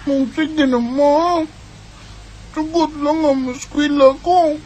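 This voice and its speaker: A woman voicing an earnest young boy who gently looks after his family, in a homemade radio drama. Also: high voice, fairly even intonation, takes it slowly, low-quality recording. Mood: pleading. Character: earnest young boy, caring older brother.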